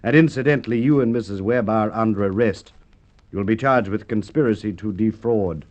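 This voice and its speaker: Casting: male actor as unhurried, sardonic radio-play police inspector, lowish pitch, deliberate pauses, dull lo-fi vintage sound. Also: darkish tone, lightly acted. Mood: sardonic, triumphant. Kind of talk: radio drama acting.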